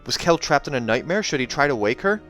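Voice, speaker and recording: A man's voice: fairly high pitch, animated, quick pace, fairly bright tone. Man reading a fantasy novel over music. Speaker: reader and commentator. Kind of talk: expressive audiobook narration with casual asides.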